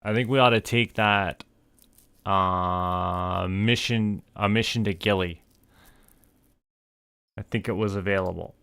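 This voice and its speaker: A man's voice: low voice, steady tone, takes it slowly, gravelly, pauses often, a bit bright, clean tone, dry recording. A man with a Canadian accent doing casual solo gameplay commentary as a streamer, musing to himself as he goes. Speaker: game streamer.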